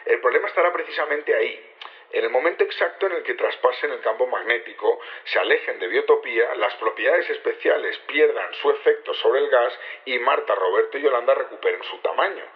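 A male actor plays a calm, orderly leader in an audio drama. He has a medium-pitched voice and sets things out politely and methodically. The sound is a little lo-fi, like radio. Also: brisk, natural voice. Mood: composed, businesslike. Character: composed male leader briefing his conspirators, methodical. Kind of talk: naturalistic audio drama acting. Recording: slightly dull radio-like sound.

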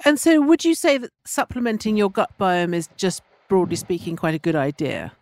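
A woman's voice: medium pitch, animated, moderate pace, darkish tone, dry recording. A woman hosting a podcast, chatty and enthusiastic as she interviews a guest. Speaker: podcast host. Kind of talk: casual chat and interviewing.

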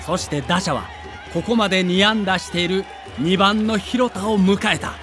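A man's voice: high voice, moderate pace, fairly bright tone, soft background music, some chatter behind. A man giving dramatic scripted narration for an audio documentary.

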